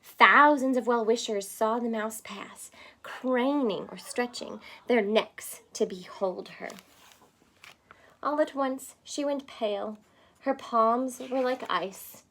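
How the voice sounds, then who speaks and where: A woman reading a children's storybook aloud expressively, in the manner of someone explaining it to young listeners. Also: fairly high pitch. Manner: expressive, pausing to explain words simply. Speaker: storybook reader.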